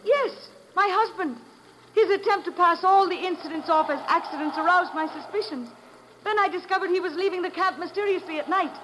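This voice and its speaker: A woman's voice: very high voice, brisk, a bit bright. A woman playing an earnest, formally spoken wife in a 1950s radio drama, on a noisy old recording. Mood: troubled, earnest. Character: earnest wife, troubled by suspicions of her husband.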